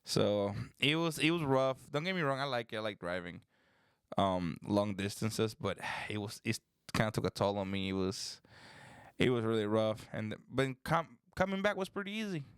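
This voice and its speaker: A man's voice: lowish voice, normal speed, clean tone, no room sound, clean studio sound. A young man from the Dominican Republic, rambling in casual chat as a podcast host. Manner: relaxed, rambling, says "bro".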